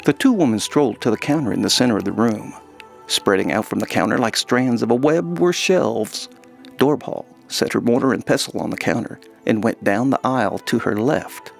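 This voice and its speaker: A man's voice: mid-range voice, expressive, normal speed, gravelly, soft background music. A man reading scripted fantasy narration as the storyteller of an audio drama.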